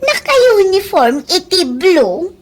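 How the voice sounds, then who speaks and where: A woman's voice: very high voice, very animated, slight background noise, full character voice. A woman with an Ilocano Filipino accent voicing a boastful, mocking young daughter in a comedy radio drama. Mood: gossiping, scornful. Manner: mocking, giggly, drawn-out. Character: snobbish, boastful young daughter who mocks her cousin.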